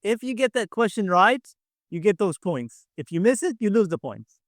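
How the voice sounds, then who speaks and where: A man's voice: high-pitched, big pitch swings, moderate pace, no echo, clean studio sound. A man casually hosting a quiz game on a video call, encouraging and often repeating himself. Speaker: quiz show host.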